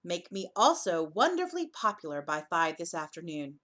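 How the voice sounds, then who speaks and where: A woman's voice: fairly low pitch, big pitch swings, normal speed, a bit bright, pitched below the performer's own voice. A woman voicing a proud, woolly male kangaroo who insistently demands to be made different, in a children's storybook reading. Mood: demanding.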